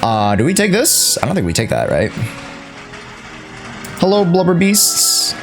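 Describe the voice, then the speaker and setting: A man livestreams a video game with casual, slangy commentary. His pitch jumps around a lot as he reacts out loud, and game music plays faintly behind him. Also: wildly swooping pitch, unhurried. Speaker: livestreaming gamer. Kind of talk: casual gameplay commentary to a live chat.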